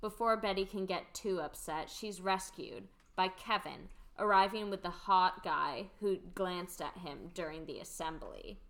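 A woman's voice: lowish voice, measured pace, hardly any pauses, a bit bright. A woman reading stage directions as the narrator of a scripted amateur radio play.

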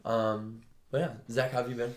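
A young man with a raspy voice hosting a casual podcast with friends, swearing freely and joking. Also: medium pitch, little pitch movement, moderate pace. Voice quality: raspy voice from partying. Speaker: podcast host. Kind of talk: casual chat among friends.

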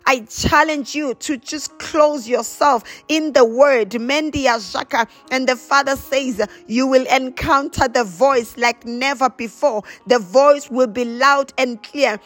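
A woman's voice: high voice, slow pace, few pauses, fairly bright tone. A woman preacher delivering a charismatic prayer sermon, exhorting with repeated emphatic phrases and bursts of chanted glossolalia.

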